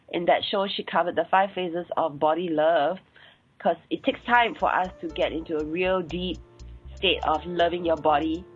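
Singaporean woman, podcast host, husky voice on a thin phone-like line, chatty and full of ums. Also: on the low side. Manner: chatty, many "um" and "uh" fillers. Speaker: podcast host and sex coach. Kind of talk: solo podcast episode recap.

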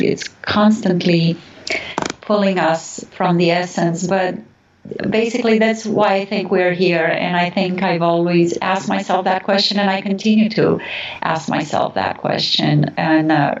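A woman from Romania speaks as an interview guest in a fairly low voice. She is earnest and reflective, feeling her way toward the right words. The sound is dull and echoey, as if she sits some way from the mic. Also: fairly even intonation, unhurried, recorded from a distance, slight background noise. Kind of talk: reflective spiritual interview answers.

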